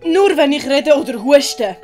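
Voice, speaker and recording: Woman acting a plaintive commedia man, high voice, apologetic, slow, faint music behind, audiobook. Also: lively intonation. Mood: pained. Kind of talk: dramatized audiobook character voice.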